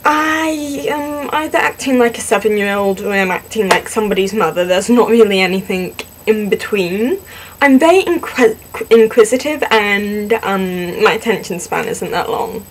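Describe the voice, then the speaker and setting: A young woman, born in Singapore and raised in the southwestern US, casually answering questions about herself to a camera, chatty and self-deprecating with many pauses and ums. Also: medium pitch, animated, a little hiss or hum, rough recording. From born in Singapore, grew up in the southwest US. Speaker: vlogger in a fan collab channel.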